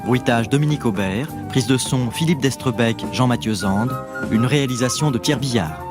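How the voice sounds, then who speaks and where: A male announcer reads credits formally in a medium-pitched voice. Loud music plays behind him throughout. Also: normal speed. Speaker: radio announcer reading credits.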